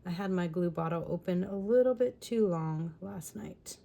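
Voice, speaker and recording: A woman with a lingering raspy voice talking casually through a scrapbooking tutorial at home, with chatty, apologetic asides while she works. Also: lowish voice, normal speed, warm tone. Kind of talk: casual crafting tutorial talk. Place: home craft room. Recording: faint room noise from fan. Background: fan running.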